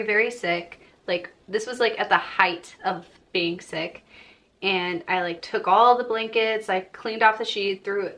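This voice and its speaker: A woman's voice: mid-range voice, a bit bright. A young woman vlogger talking to her viewers in a chatty, informal way. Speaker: crochet vlogger and host. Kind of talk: casual chat to viewers.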